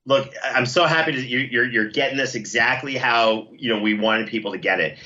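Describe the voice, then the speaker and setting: Bright, forceful man being interviewed. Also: mid-range voice, brisk, muffled recording. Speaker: podcast host and producer, interview guest. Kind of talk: conversational interview.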